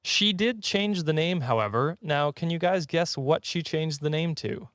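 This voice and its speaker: A man's voice: a little high, measured pace, fairly bright tone, no echo, studio quality. A man hosting a casual comedy podcast, telling trivia and bantering in a jokey, chuckly way. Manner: jokey, often chuckling as he talks. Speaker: podcast host.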